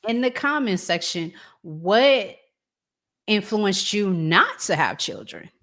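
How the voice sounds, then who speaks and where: A woman hosting a casual talk show, reading out audience comments and posing questions in a measured, deliberate way. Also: mid-range voice, expressive, a bit bright.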